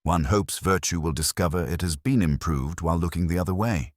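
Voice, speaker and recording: A man's voice: sits low, a little fast, no echo, studio quality. A man playing a cautious, wryly pompous small-town solicitor in an audio drama, formal and fussily precise. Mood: wry, discreet. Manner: formal, fussy, precise wording.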